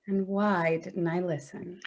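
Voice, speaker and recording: A woman's voice: lowish voice, level tone, slow pace. A woman playing a hurt, sarcastic adult daughter in a staged play reading, dry and confrontational with her mother. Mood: bitter, resigned.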